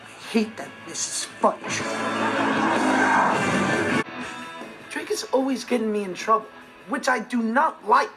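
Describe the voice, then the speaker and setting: A man's voice: very high-pitched, animated, moderate pace, over loud music. A young American man playing an earnest, put-upon teenage boy in a sitcom monologue, complaining emphatically and indignantly. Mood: aggrieved. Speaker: sitcom actor.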